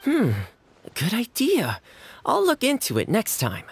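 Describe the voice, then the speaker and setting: A man voicing a friendly, easygoing young housekeeper who speaks in a gentle, sociable way, in video game voice acting. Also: very high voice, huge pitch swings, measured pace, husky, exaggerated voice.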